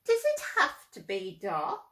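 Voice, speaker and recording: A woman's voice: medium pitch, wildly swooping pitch, measured pace, a bit bright, voice pushed down. A woman voicing a timid young boy owl in a childlike, plaintive, emphatic way for a storybook. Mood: polite, curious. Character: timid young boy owlet afraid of the dark.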